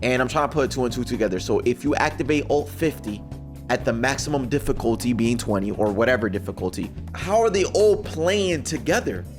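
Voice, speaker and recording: A man's voice: medium pitch, animated, fairly quick, faint music behind. A man hosting a reaction video, giving casual, slangy, bemused live commentary.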